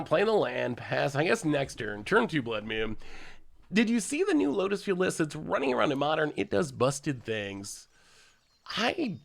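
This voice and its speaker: Man, live-stream game commentary, congested from a cold, lively bouncing pitch, gravelly edge, chatty and laughing. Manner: chatty, laughs often, thanks chat subscribers. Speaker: streamer playing a card game. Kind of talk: casual live-stream commentary while playing.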